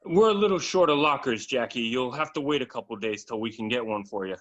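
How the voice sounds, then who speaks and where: A man's voice: medium pitch, lively intonation, quick pace, fairly bright tone, highs cut off, natural voice. A man playing an offhand clubhouse attendant in a dramatic script reading.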